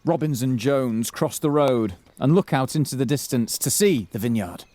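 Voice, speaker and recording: A man's voice: medium pitch, animated, normal speed, mellow, a little hiss or hum, close to an everyday voice. A man playing the dramatic narrator of a mock detective serial, reading scripted narration. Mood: suspenseful.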